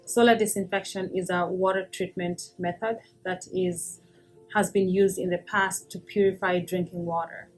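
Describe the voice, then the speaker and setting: A woman professor from Kenya, explaining her research in a measured way with frequent pauses and ums. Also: lowish voice, steady tone, soft background music. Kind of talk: interview about research.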